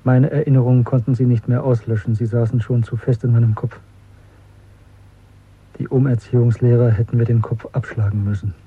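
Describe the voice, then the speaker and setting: A man's voice: medium pitch, level tone, fairly quick, slightly rough, natural voice. An actor playing a bitter, haunted male dissident in a German radio drama, speaking earnestly and insistently. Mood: somber, remembering. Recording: muffled, narrow phone-like sound.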